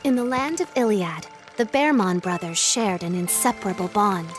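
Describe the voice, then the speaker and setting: An actress playing a solemn storybook narrator in a video game, delivering scripted narration. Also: female voice, medium pitch, lively intonation, normal speed, over music, natural voice.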